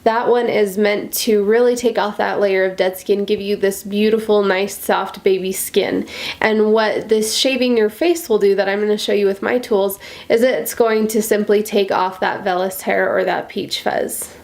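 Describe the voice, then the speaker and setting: A woman's voice: medium pitch, steady tone, normal speed, darkish tone. Nasal, congested American woman vlogger. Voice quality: nasal, stuffy. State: congested from a cold. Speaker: beauty vlogger. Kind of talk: casual chatty beauty tutorial to camera.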